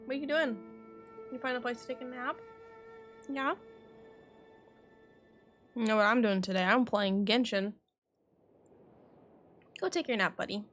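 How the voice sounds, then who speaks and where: A woman's voice: a little high, a little slow, pauses often, a bit bright, faint music behind. A woman streaming a game, chatting casually in a rambling way and swearing now and then. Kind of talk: casual livestream chat.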